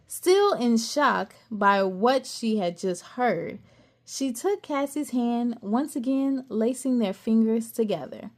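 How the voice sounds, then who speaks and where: Expressive woman reading a children's story. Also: high voice. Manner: expressive, with gasps and excited asides. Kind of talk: read-aloud storytelling for children.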